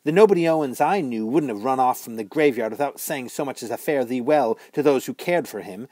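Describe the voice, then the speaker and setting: A man's voice: mid-range voice, expressive, a little fast, a little mellow, no echo, lightly acted. A man doing a scolding ghostly witch girl. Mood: pleading. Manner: chiding, archaic turns of speech.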